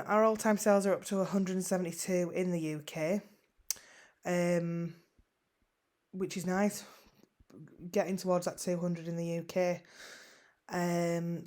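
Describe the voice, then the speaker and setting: A woman's voice: lowish voice, a little mellow. A middle-aged woman from West Yorkshire with a West Yorkshire accent, chatting casually in a vlog, self-deprecating and full of ums and repeated words. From West Yorkshire, England. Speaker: online seller vlogger. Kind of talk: casual screen-share vlog.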